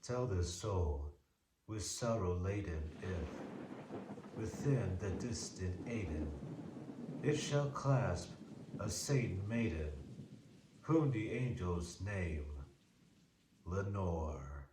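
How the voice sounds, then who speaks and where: A man acting a solemn, sorrowful poetry narrator with a quiet, grave delivery. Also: low voice, lively intonation, drawn-out words, long pauses, roomy sound, recorded from a distance, background noise, pitched below the performer's own voice, exaggerated voice.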